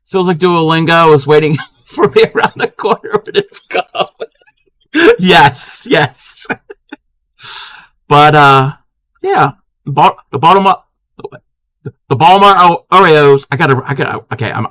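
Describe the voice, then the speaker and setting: A man's voice: fairly high pitch, unhurried, long pauses, a bit bright, no echo. A man from Maryland who chats casually on a crafting live stream, answering his chat as he works and often restarting his sentences. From Maryland, USA.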